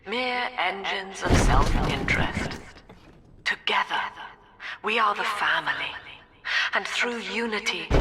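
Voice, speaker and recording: A cold British woman leading a cult in a video game. She preaches slowly and formally with clinical, lofty authority, with music running clearly behind her. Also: mid-range voice. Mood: fervent. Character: cold, lofty middle-aged female cult leader and psychiatrist. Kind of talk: scripted video game villain monologue.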